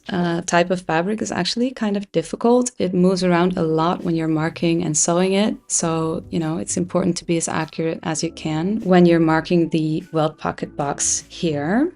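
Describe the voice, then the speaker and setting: A woman whose first language is Dutch, a pattern designer calmly explaining a sewing project step by step with occasional fillers. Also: on the low side, vocal fry. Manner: calm, explanatory, with frequent "uh" fillers. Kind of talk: sewing tutorial.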